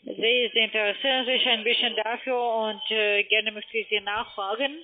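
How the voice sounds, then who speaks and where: A woman who is a non-native German speaker, giving a formal, polite telephone sales pitch. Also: flat delivery, normal speed. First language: not German. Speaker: telephone investment sales agent. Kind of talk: scripted phone sales pitch. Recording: muffled narrow phone line.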